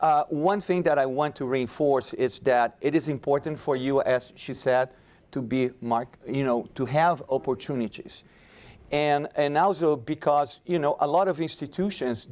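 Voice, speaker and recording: A man's voice: medium pitch, normal speed, darkish tone. A man speaking at an academic conference panel, conversational and full of restarts. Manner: conversational, many restarts and fillers. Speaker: conference presenter.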